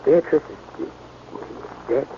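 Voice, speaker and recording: Actor as distraught young husband, old radio drama. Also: male voice, slow pace, lightly acted. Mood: stunned, disbelieving. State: shaken, distraught. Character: desperate, grief-stricken young husband, rich man's son. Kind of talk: 1940s radio drama acting. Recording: dull, noisy old 1940s radio broadcast.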